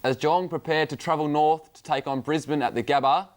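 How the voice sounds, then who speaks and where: Higher-pitched Australian male sports reporter. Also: fairly even intonation, a little fast. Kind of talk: scripted broadcast news read.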